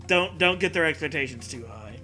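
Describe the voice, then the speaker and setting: A man's voice: fairly high pitch, lively intonation, a little fast, pushed and bright, soft background music. A man co-hosting a comedic read-aloud of fan fiction, riffing casually with mocking sarcasm and swearing. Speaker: co-host reading fan fiction.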